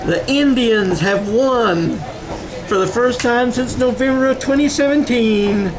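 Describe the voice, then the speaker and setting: A man's voice: very high-pitched, unhurried, a crowd in the background, low-quality recording. A man giving live amateur play-by-play of a football game, calling the clock and score in short bursts from the stands outdoors. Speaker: play-by-play announcer.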